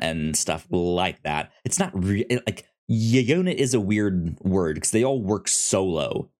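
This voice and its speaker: A man with a low voice talks casually as a film podcast co-host. His delivery is halting, often stammering and restarting words as he explains. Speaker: podcast co-host reviewing films. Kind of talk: casual movie review chat.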